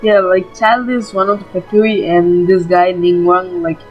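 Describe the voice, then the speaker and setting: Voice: mid-range voice, level tone, a little slow, lo-fi sound. A gamer giving informal let's-play commentary, peppering the talk with filler words. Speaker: gaming YouTuber. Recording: faint game music behind.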